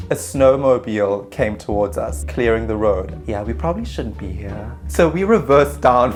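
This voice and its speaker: A man narrating his own travel story in a casual, self-mocking voice-over. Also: medium pitch.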